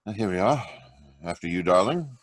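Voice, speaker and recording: Confident hero detective, played by a man, lower register, smooth, dry close sound. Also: animated, normal speed, no echo. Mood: determined. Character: confident male hero detective with a secret identity. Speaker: radio drama actor. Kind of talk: old-time radio drama reading. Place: home, over a video call.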